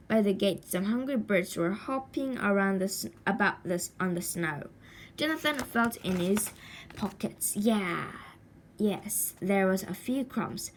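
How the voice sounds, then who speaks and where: A woman reading a children's story aloud expressively, with deliberate dramatic pauses and stretched words. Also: mid-range voice. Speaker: storybook reader. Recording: faint background noise.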